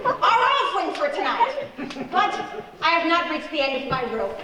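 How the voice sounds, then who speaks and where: A woman's voice: very high voice, sing-song, moderate pace, very noisy. A woman hosting a radio play with scripted, theatrical narration. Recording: very echoey hall from far off. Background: music.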